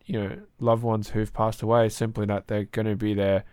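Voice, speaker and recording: A hoarse man co-hosting a podcast, chatting casually and rambling with fillers. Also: fairly low pitch, little pitch movement, moderate pace, a little mellow, no room sound, clean studio sound. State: hoarse, sore throat. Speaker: podcast co-host.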